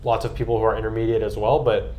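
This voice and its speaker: A man's voice: on the low side, flat delivery, fast, dull audio, a little hiss or hum. An American man chatting casually and earnestly on a podcast, with an enthusiastic manner. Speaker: podcast guest and co-host recapping a trip.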